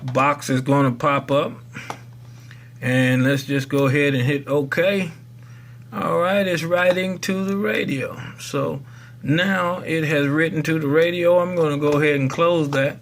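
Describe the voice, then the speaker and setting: A man with a voice pitched a little higher than average walks viewers through a hands-on tutorial. He speaks casually and in a folksy way, drifting into rambling asides as he explains. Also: fairly even intonation, a little slow. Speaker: tutorial video host. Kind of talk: casual spoken how-to demonstration.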